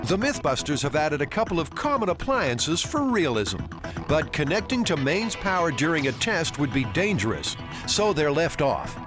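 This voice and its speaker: A man's voice: on the high side, very animated, moderate pace. A man narrating a science documentary in a playful, wry scripted voice. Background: music.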